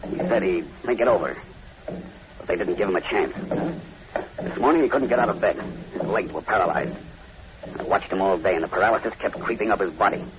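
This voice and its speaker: Guy acting a grim superstitious plantation boss, lowish ominous voice, old crackly radio horror show. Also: brisk, long pauses, close to an everyday voice. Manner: ominous, emphatic, dramatic storytelling. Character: grim, superstitious male plantation overseer, long in Haiti. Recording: muffled, noisy old radio recording.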